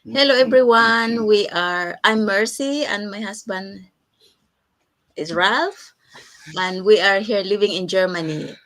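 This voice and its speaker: A woman who speaks loudly and eagerly. She is a guest on a video call panel and introduces herself. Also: medium pitch, slow. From Philippines.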